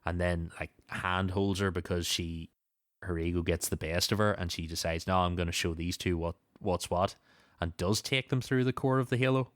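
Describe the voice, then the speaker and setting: A man's voice: deep voice, moderate pace, no room sound, clean studio sound. A man hosting a podcast, casually recapping and discussing a story with a co-host.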